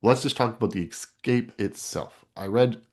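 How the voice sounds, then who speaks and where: A man's voice: medium pitch, moderate pace. A man hosting a podcast interview, moving between introductions and conversational questions.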